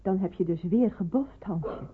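A woman's voice: medium pitch, a little slow, noisy background, natural voice. An actress playing a stern, practical middle-aged mother of a wartime household, firm and admonishing, in a radio play. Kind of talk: radio drama acting. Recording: tinny, narrow old radio recording.

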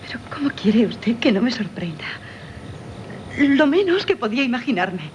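An actress with a Castilian accent playing a yearning, emotional young stepdaughter in theatrical 1970s radio style. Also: female voice, fairly high pitch, very animated, moderate pace. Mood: astonished, moved. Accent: Castilian Spanish. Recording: old radio broadcast, background noise.

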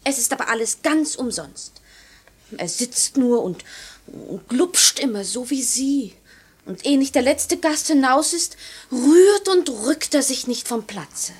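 A flustered, pert young woman from a tavern family in a classic German radio play. Her voice sits fairly high with a husky edge. She chatters coquettishly and bristles with indignation. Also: expressive, unhurried, heavily acted. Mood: exasperated, chatty. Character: pert, flustered young innkeeper's daughter, engaged, easily offended. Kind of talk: classic German stage-style radio drama acting.

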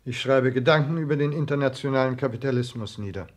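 A man acting a guarded, ambitious male secretary in a 1960s German radio play, speaking formally in measured, clipped phrases. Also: medium pitch, close to an everyday voice. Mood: deferential, formal.

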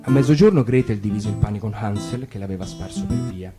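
A man reading a fairy tale aloud on radio in a calm, measured storytelling voice. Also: lowish voice. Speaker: reader. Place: radio studio. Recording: guitar music underneath.

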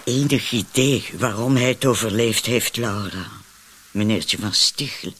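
An actor playing a wary, needling woman in a radio drama, with a husky voice. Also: fairly low pitch, unhurried, fairly bright tone, slight background noise. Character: wary, needling woman named Marilyn, accomplice in poisonings.

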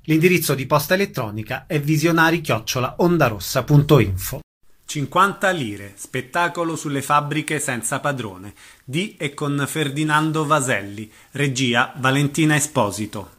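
A man's voice: on the high side, lively intonation, moderate pace, fairly bright tone. Man reading radio announcements. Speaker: radio presenter.

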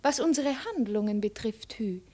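An actress in a 1950s German radio drama playing a proud, shrewd, cold young princess, probing and ironic. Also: female voice, fairly high pitch, animated, moderate pace, dark tone. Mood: probing, suspicious.

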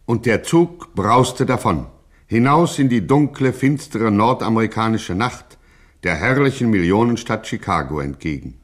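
An actor playing the theatrical, mock-epic narrator of a radio gangster satire. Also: male voice, lowish voice, expressive, moderate pace. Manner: florid, theatrical. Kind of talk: scripted radio drama narration.

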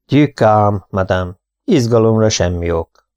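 A man voicing a calm, precise foreign detective, speaking in a measured, soothing way. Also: on the low side, smooth, dry recording, close to an everyday voice.

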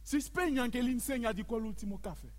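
A man narrating a theatrical monologue on stage, emphatic and deliberate, in short declaimed phrases. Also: very high voice. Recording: long hall reverb, live music behind. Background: live musical accompaniment.

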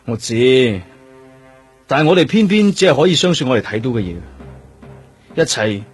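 A very husky Hong Kong Cantonese detective in a radio drama. He is serious and methodical, questioning in a measured tone. His pitch sits in an ordinary middle range. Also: sing-song, soft background music. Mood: serious, probing. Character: serious, methodical male police detective, evidence-minded.

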